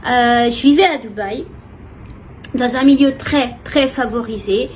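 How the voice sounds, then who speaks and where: High-pitched Frenchwoman, noisy recording. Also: unhurried. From France. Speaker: vlogger. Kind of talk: personal testimony, casual talk to viewers. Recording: clear background noise, ambient sound.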